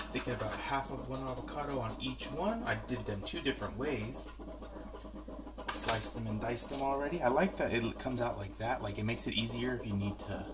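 A man's voice: mid-range voice, heavy background noise. Upbeat joking man cooking at home. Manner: upbeat, joking, self-deprecating. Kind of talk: casual cooking chat. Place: home kitchen, indoors. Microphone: camera mic, handheld and tripod. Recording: home kitchen with sizzling in the background. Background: sizzling pan and kitchen sounds.

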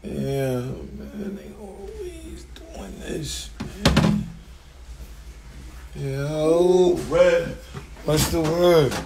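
A hoarse young man on a phone livestream, rapping over a beat and then chatting loosely with a slurred, laid-back delivery. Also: a little high, very animated, extremely slow, heavy background noise. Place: home studio and house, indoors. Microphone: phone held at arm's length.

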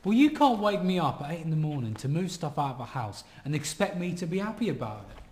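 A man's voice: a little high, sing-song, quick pace, a little mellow. A man acting a grumbling, jumpy young helper in an amateur radio drama, casual and conversational. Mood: grumpy. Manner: complaining, casual. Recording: echoey room, faint noise.

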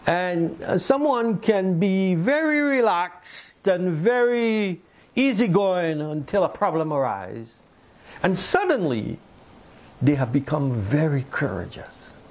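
An older man preaching a sermon from a lectern in a small room, slow and deliberate with pauses. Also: high voice, animated. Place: small indoor room by a fireplace mantel.

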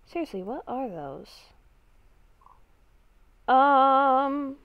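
A woman's voice: high-pitched, animated, drawn-out words, dry recording, narrow, tinny audio, full character voice. A young woman improvising a Minecraft roleplay as a confused, lost young woman, hesitant and thinking aloud. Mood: curious. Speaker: gaming video creator.

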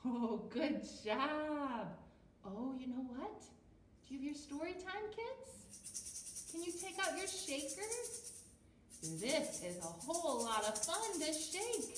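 High, lilting American woman, slow-paced. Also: roomy sound, recorded from a distance, over music. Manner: sing-song, encouraging, animated. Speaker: children's storytime host. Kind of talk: storytime for toddlers with songs. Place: small plain room indoors.

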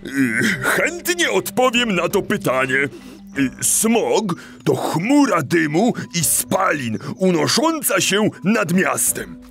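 A man's voice: sits high, sing-song, slow, vocal fry, a bit bright, soft background music, heavily acted. A man playing a kindly animal teacher in a children's audio play, encouraging and didactic, with small stammers. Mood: earnest, proud. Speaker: teacher leading a children's lesson.

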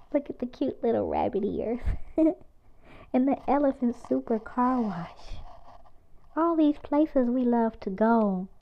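A woman reads a children's picture book aloud, stretching each line out very slowly in a sing-song voice. Her voice sits fairly high and she points out signs eagerly for young listeners. The recording is a bit dull and lo-fi. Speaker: children's storyteller.

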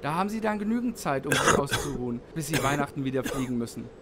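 A man's voice: medium pitch, animated, fast, heavy background noise, lightly acted. A man voicing a kindly, worried old Santa Claus in a children's audio play, sighing and lamenting. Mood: kindly, reassuring.

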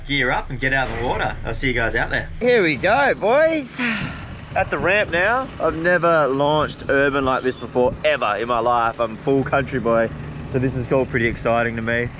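Excited young Australian man vlogging. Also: medium pitch, background noise. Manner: excited, slangy, upbeat. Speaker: vlog host. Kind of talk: casual vlog chat to camera. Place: boat workshop, then outdoors on a boat.

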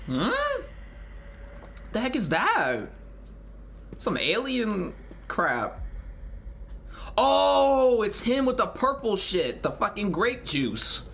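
A young man in his twenties giving casual, low-key reaction commentary with muttered asides. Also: big pitch swings, very slow, creaky, pauses often, fairly bright tone, a little hiss or hum. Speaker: reaction streamer. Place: small bedroom, indoors. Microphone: over-ear headset, desk mic.

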